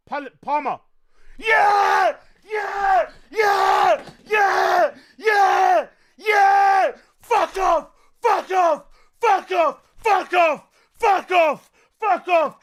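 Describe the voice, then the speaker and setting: A man reacting live to a football match, excitable and yelling and swearing at the screen. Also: extremely high pitch. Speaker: streamer host.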